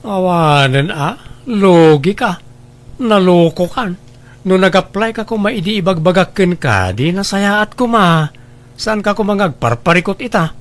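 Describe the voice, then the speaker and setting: A man's voice: sits high, expressive, measured pace. An actor playing a plain-spoken, disapproving husband and father in a scripted audio drama, blunt and cautioning. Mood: scolding, skeptical.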